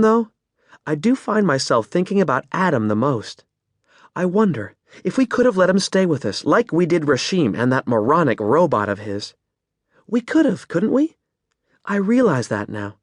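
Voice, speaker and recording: A man's voice: on the high side, sing-song, moderate pace, dry recording, studio quality. A man voicing a wry, reflective young woman as she types her private log in an audiobook. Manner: wry, musing first-person reflection.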